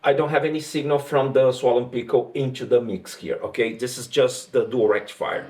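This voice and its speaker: A man's voice: medium pitch, brisk. A man from Brazil with a Brazilian accent and Portuguese as his first language, chatting casually as he explains his guitar rig. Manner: relaxed and chatty, with frequent fillers and restarts. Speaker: guitarist demoing gear. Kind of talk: casual gear demo talk.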